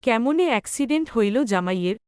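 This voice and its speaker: A woman's voice: fairly high pitch, animated, quick pace, a little mellow, clean tone, no echo, clean studio sound, natural voice. A woman voicing a caring old village grandmother in a cartoon, homely and coaxing, with a rural Bangladeshi Bengali dialect. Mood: surprised. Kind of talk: cartoon dialogue.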